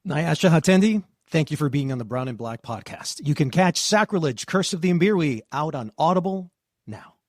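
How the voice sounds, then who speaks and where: Measured male podcast host, fairly high voice. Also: expressive, no echo, clean studio sound. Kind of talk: podcast interview and outro.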